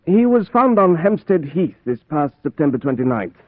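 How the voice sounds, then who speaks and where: An actor playing a pompous, dismissive doctor in a vintage radio drama, fussy and condescending. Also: male voice, fairly high pitch, very animated, moderate pace, dry recording. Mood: dismissive, smug. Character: pompous, dismissive hospital doctor humouring a colleague. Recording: dry, tinny old radio recording.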